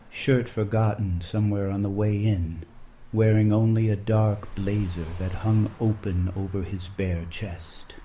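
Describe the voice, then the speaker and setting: A man narrating a scripted literary story in a measured, solemn manner. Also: lowish voice, warm tone, a little hiss or hum.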